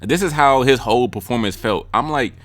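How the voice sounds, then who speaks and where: A man's voice: mid-range voice, a little fast, a bit bright, no echo. A young man giving blunt, joking casual commentary as a YouTube music reviewer, slipping into mimicry of rappers' lines. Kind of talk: casual commentary ranking freestyles.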